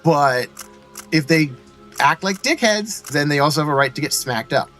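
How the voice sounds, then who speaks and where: A young man chats over a game, easygoing and laying things out step by step. His voice sits slightly high for a man and moves around a lot in pitch. Faint music runs underneath. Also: expressive, moderate pace, a bit bright. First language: Swedish. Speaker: co-host playing a game. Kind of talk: casual gaming chat.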